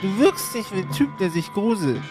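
A man's voice: high voice, expressive, normal speed, music in the background. A man playing a cocky, blunt, slangy street tough who bosses people around in an improvised comedy audio play. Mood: probing.

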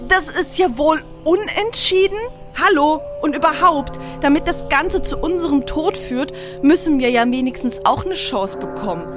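A woman playing a cheeky, quick-witted adventurer with a colloquial, argumentative manner in a fairy-tale audio drama. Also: sits high, expressive, music in the background. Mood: protesting.

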